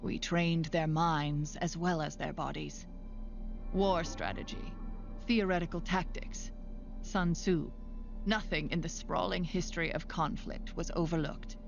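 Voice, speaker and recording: Animated series narrator: middle-aged female scientist, creaky, measured, reflective, under loud music. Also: deep voice, highs cut off. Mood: cool, reflective. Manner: measured, deliberate. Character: older female scientist recalling children she trained, cool, reflective.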